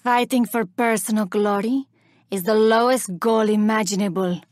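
An actress playing a vengeful, haughty elven woman warrior in a video game, speaking with deliberate, theatrical menace. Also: medium pitch, fairly even intonation, a little mellow, no echo, clean studio sound, close to an everyday voice. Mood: vengeful, cold. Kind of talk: video game character voice lines.

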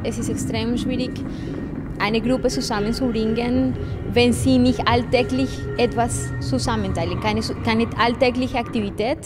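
Brief: thirties woman, non-native German, slightly high voice, thoughtful and searching, handheld mic indoors, music bed behind. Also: fairly even intonation, moderate pace. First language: Spanish. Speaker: choreographer. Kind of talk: documentary interview. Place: indoor hallway by a window. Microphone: handheld foam-covered mic held close.

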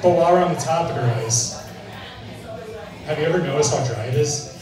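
A young man doing a stand-up set on a bar stage, with a dry, deadpan delivery, filmed on a handheld mic from out in the crowd. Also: a little high, fairly quick. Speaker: stand-up comedian. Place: bar stage with a live audience. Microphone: handheld mic, filmed from far in the crowd. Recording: echoey bar sound from far off, crowd laughter.

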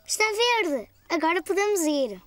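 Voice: very high voice, sing-song, measured pace, fairly bright tone, no echo, exaggerated voice. A performer voicing a cheerful, eager four-year-old boy in a European Portuguese children's cartoon dub. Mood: attentive.

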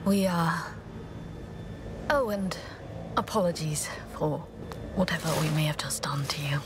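An actress playing a steady, serious young woman warrior in a fantasy game, composed and terse. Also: sits low, unhurried, noisy background. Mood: guarded. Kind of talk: dramatic fantasy game dialogue.

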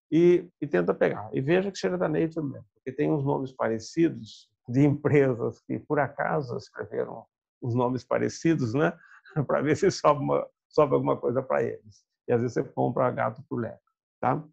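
An older man lectures over a video call in an emphatic, conversational way. His voice is deeply gravelly with a husky edge, and the sound comes through dull and lo-fi. He talks from an office chair in front of a webcam. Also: normal speed, darkish tone. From Brazil. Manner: emphatic, conversational, gesturing with hands. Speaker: guest lecturer. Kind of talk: seminar talk on video call.

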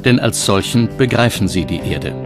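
A man's voice: lowish voice, animated, moderate pace. Male documentary narrator over prominent music. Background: plucked guitar music.

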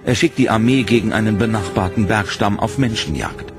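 A man narrating a history documentary in a measured, solemn scripted voice-over. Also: on the low side. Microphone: studio voice-over. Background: loud dramatic music.

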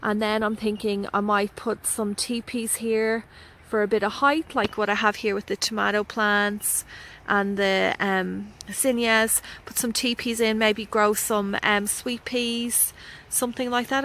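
A woman's voice: mid-range voice, steady tone, measured pace, a bit bright, slight background noise, low-quality recording. A woman with an Australian accent giving a casual, enthusiastic walkthrough of her garden, with lots of ums, recorded outdoors on a handheld phone. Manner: chatty and enthusiastic, frequent ums. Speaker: gardening vlogger. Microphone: handheld phone camera.

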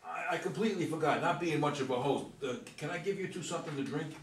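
A man's voice: fairly high pitch, quick pace, lightly acted. Man acting a stammering folksy young painter, roomy sound. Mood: hesitant. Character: excitable, folksy young male painter, fond of his grandfather. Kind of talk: staged script reading. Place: meeting room, seated at a long table. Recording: roomy, distant camera mic, faint noise.

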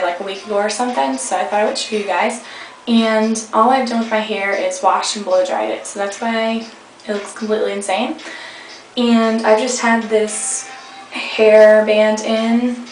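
A young woman with a slightly hoarse voice, chatting casually through a hair tutorial with rambling fillers, recorded on a webcam at home. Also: medium pitch, slight background noise. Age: young adult. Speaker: beauty vlogger. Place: indoor room at home. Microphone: webcam at arm's length. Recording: echoey room, faint music behind.

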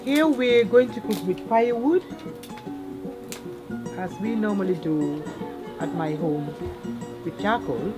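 A woman's voice: mid-range voice, slow pace, long pauses. Gambian woman presenter with music behind. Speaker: host, cook and charity founder. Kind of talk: explaining cooking and village life to camera. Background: background music.

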